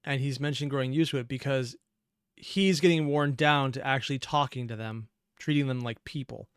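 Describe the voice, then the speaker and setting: A man's voice: medium pitch, moderate pace, clear, resonant tone, dry recording. A man hosting a reaction video, giving informal, opinionated, analytical commentary off the cuff.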